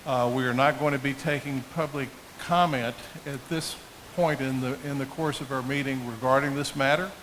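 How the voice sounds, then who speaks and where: A man's voice: mid-range voice, fairly even intonation, fairly bright tone. Man chairing a meeting over noticeable hiss. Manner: halting, deliberate. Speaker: mayor chairing a town council meeting. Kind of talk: formal meeting procedure. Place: town council meeting room. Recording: hissy public meeting room microphone.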